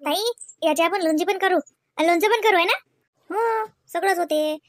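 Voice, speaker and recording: कार्टूनमधील बडबड्या, खेळकर तरुण गावाकडच्या सुनेला आवाज देणारी स्त्री, वऱ्हाडी बोलीत. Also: female voice, extremely high pitch, normal speed, no room sound, clean studio sound. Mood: chatty, playful. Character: young village wife, chatty, playful, eager for raw mangoes. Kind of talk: comedy cartoon dialogue.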